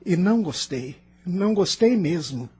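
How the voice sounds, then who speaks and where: A man's voice: sits high, lively intonation, a little slow, warm tone, breathy edge, exaggerated voice. A Brazilian man acting a bitter, self-justifying spirit in the afterlife in an audio drama, defensive and questioning. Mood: aggrieved, protesting. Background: music.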